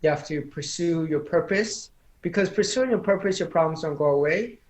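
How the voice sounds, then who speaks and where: A man's voice: a little high, moderate pace, roomy sound. A man who is a public speaking coach, speaking as an interview guest in an earnest, motivational, speech-like way; English is not his first language. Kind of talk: casual interview chat.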